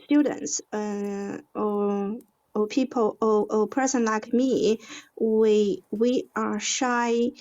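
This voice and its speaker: A woman with a Chinese accent, an English learner speaking haltingly in a lesson as she searches for words, on dull lo-fi audio. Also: medium pitch, steady tone, a little mellow. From China. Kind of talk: hesitant conversation in a lesson.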